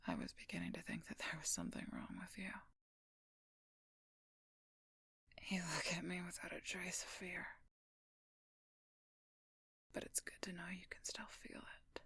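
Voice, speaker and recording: A woman voicing a gruff, teasing female werewolf knight in an intimate audio roleplay, speaking in a breathy, husky whisper with dry, slow delivery close to the microphone. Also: a bit bright, dry recording, exaggerated voice. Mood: low, menacing tease. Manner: slow, dry, teasing, addresses listener as Highness.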